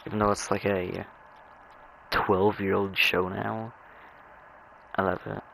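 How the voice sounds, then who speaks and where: A young man with a low, gravelly voice talks casually as he plays a game. He rambles in a halting way, often stammering and repeating words. A faint noise sits under his voice on what sounds like a home microphone. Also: flat delivery, fairly bright tone. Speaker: gamer narrating own gameplay. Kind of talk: rambling casual chat over gameplay.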